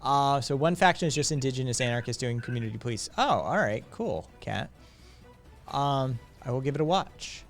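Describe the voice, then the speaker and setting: A man's voice: mid-range voice, lively intonation, moderate pace. A man in his late thirties chatting on a live stream in a loose, rambling way, swearing freely and filling gaps with ums. His voice sits in a middle range. Faint music plays underneath. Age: late 30s. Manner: profane, rambling, laid-back with frequent fillers. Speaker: streamer host. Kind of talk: casual live-stream chat.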